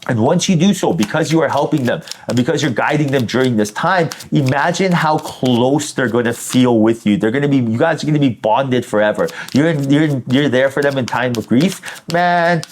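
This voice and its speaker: Male tarot reader, mid-pitched, informal and colloquial, talking directly to the listener in an effusive, encouraging way. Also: brisk, hardly any pauses, darkish tone, slight background noise. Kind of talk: informal spiritual reading addressed to the listener.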